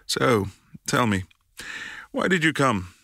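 Male actor as affectionate young boyfriend, ASMR roleplay, breathy murmur, creaky fry, swooping intonation, close dry mic, playful. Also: mid-range voice, sing-song, bright, forceful tone, no echo, heavily acted. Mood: tender. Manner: breathy, murmuring, lingering hums and pauses. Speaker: audio roleplay performer. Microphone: close, dry microphone.

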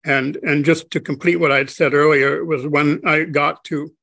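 Older man with a gravelly voice on a video call. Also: on the high side, steady tone, bright, forceful tone, no echo. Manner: slow, halting, many restarts and fillers. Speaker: interview guest. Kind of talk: reflective conversation. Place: home study, indoors.

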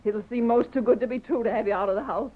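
A woman's voice: fairly high pitch, fast talker, noisy background, close to an everyday voice. An actress playing a fed-up, defiant frontier woman with an American accent, earnest and reproachful, in a 1930s radio western. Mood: cold, relieved. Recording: tinny, band-limited 1930s radio recording.

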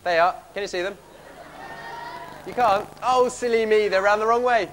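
A man's voice: high-pitched, normal speed, a bit bright, slight background noise. A young man playing a chirpy, eager servant in pantomime, bantering with the audience from a theatre stage. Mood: cheery, coaxing. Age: young adult. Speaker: pantomime comic leading audience singalong. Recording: reverberant theatre hall recording with music.

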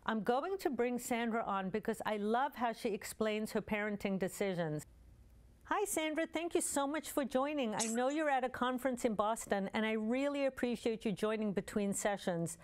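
A woman in her fifties hosting a show, presenting a scripted introduction in a clear, measured way. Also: fairly high pitch. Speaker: show host. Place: studio with dark curtain.

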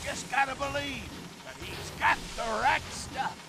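An actor playing a good-natured, folksy stout train engineer in an animated video game. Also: male voice, unhurried, gravelly, music in the background, exaggerated voice. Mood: hearty, encouraging. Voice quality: high-pitched.

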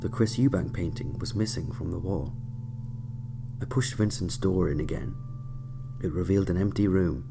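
A man's voice: sits low, normal speed, creaky, long pauses. A man narrating a scripted first-person story in an audio drama. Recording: music under the voice. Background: music.

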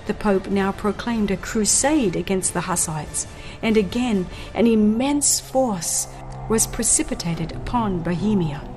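A woman reading a religious history audiobook in a solemn, dramatic, measured storytelling style. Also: fairly high pitch, darkish tone. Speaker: audiobook narrator. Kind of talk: dramatized scripted narration of a religious history book. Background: music.

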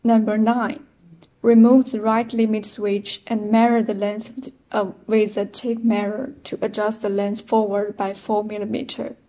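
A woman's voice: mid-range voice, level tone, moderate pace, mellow. A young woman with a Chinese accent, reading numbered machine setup steps in a plain, methodical way. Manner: plain, methodical step counting. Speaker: product demonstrator. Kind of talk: step-by-step instructional voice-over.

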